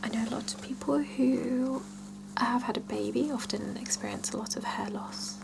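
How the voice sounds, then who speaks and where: A woman's voice: on the high side, normal speed. Whispering woman in an ASMR salon roleplay. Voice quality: soft breathy whisper with creaky edges. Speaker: ASMR performer playing a salon scalp therapist.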